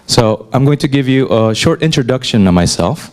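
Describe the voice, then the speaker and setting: A man in his forties, raised in North America, gives a relaxed, conversational lecture to students and often pauses on "uh". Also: mid-range voice. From Korea, raised in North America. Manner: relaxed and conversational, with frequent "uh" fillers. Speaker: newspaper chief editor giving a talk. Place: lecture hall.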